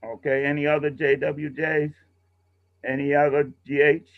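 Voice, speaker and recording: A man's voice: a little high, flat delivery, normal speed, creaky. A man instructing a class in a casual, patient, step-by-step way over a video call. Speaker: instructor leading a radio training class. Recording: muffled, roomy video call audio.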